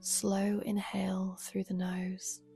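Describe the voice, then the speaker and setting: A woman guiding a meditation as a yoga nidra teacher, speaking softly and soothingly with a breathy, unhurried delivery. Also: medium pitch, completely flat delivery, faint music behind. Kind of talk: guided meditation.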